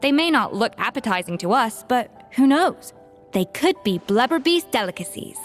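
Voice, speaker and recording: A woman's voice: fairly high pitch, sing-song, normal speed, soft background music. A woman voicing a proud, fiery, confident young female chef in a video game, speaking emphatically and with self-assurance.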